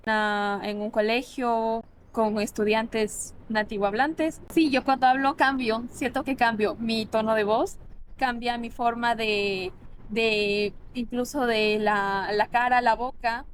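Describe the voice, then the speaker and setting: A young woman from Ecuador talks as an interview guest, thoughtful and earnest, often pausing to restart a phrase. Her voice sits somewhat high. She sounds like a linguist sharing her own experience. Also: steady tone, slight background noise. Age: 20s. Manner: reflective, earnest, many restarts and fillers. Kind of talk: casual interview conversation.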